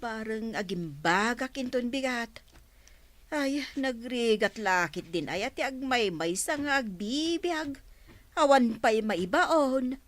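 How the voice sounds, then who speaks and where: A woman playing a sharp-tongued shopkeeper who chases a debt and scolds loudly. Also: on the high side, animated, takes it slowly. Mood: weak, sickly. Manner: loud and scolding.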